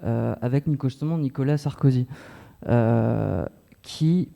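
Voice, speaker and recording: A man's voice: mid-range voice, measured pace, gravelly, warm tone. A man in his forties from northern France, speaking casually and hesitantly as an audience member, in a rambling, colloquial way. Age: 40s. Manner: hesitant, colloquial, rambling with many filler words. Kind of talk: casual spontaneous remarks.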